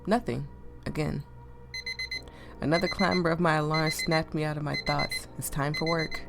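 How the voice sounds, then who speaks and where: A woman with a deep voice that sounds sick, chatting casually and reading game text aloud as a let's play host, easily amused and stumbling over words. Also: steady tone, moderate pace, creaky, loud music behind the voice. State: sick, voice affected.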